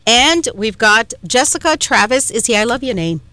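A woman's voice: on the high side, expressive, moderate pace, pushed and bright, clean tone, no room sound. Chatty Trinidad-born woman radio host. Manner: chatty, emphatic, with frequent fillers. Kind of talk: talk radio chat. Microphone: studio microphone.

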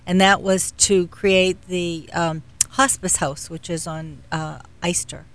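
A middle-aged woman with a fairly low-pitched voice speaks as a radio interview guest. She explains in a measured manner, often hesitating as she looks for the next word. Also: steady tone, fairly bright tone. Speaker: guest, philanthropy executive.

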